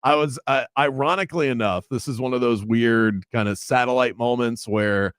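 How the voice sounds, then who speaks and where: A man's voice: mid-range voice, normal speed, clean tone, no room sound. A man hosting a sports radio show from the studio, chatting casually and teasing his producer. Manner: chatty, teasing, thinks aloud. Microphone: studio mic.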